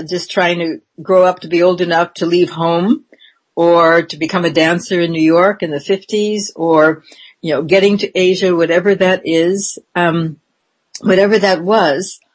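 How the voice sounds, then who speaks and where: A woman in her seventies, interviewed and reflecting on her life in a meandering, unhurried way, with frequent ums and restarts. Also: lowish voice. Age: 70s.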